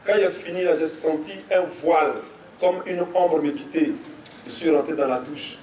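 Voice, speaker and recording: High-voiced Ivorian preacher in an echoey hall. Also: male voice, moderate pace, slight background noise. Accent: Ivorian French. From Côte d'Ivoire. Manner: storytelling, repeats phrases, rises to shouts. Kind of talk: sermon testimony. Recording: echoey, from far off, faint music.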